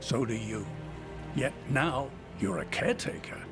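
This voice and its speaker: An actor playing a wise, open-minded older elf uncle who loves nature, in a video game. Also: male voice, medium pitch, unhurried, music in the background, lightly acted. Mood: wise, teasing.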